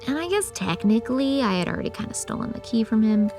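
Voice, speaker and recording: A woman's voice: fairly high pitch, sing-song, fast talker, over music. Woman playing a sardonic, exasperated young woman. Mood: wry. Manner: sarcastic, conversational. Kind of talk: horror audio drama dialogue.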